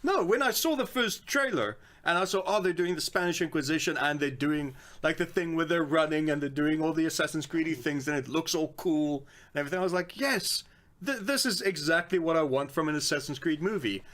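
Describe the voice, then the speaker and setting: A man's voice: on the high side, a little fast, fairly bright tone. A man co-hosting a podcast in casual film talk, acting out reactions and swearing offhand. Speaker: podcast co-host. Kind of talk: casual panel chat about films.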